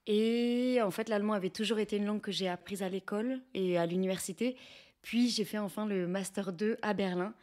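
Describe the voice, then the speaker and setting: A woman's voice: medium pitch, fairly quick, a little mellow. A young woman with a French accent, answering interview questions in a reflective, conversational way with some hesitation. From France. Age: young adult. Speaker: guest, researcher, teacher and actress.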